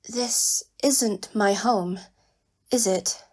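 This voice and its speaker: A woman's voice: medium pitch, extremely slow, darkish tone. A woman voicing a proud, stern cat princess with a formal, commanding manner in a dramatic audio story. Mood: uncertain. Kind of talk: dramatic audio fan-fiction character acting.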